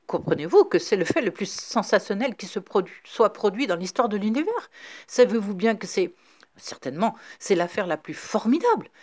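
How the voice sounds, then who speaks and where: Woman putting on a frantic shouting reporter man. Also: huge pitch swings, a little fast, husky, full character voice. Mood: excited. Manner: shrill, shouting, breathless urgency. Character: frantic excitable male newspaper reporter.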